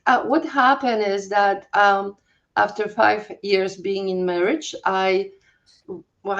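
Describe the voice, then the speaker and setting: An older woman with a Polish accent tells her story slowly and haltingly, often restarting her sentences as she looks for the right word. Her voice sits at an ordinary middle pitch, and the recording is dull and muffled. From Poland. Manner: halting, searching for words, many restarts. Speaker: guest, holistic health coach. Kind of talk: personal storytelling in an interview.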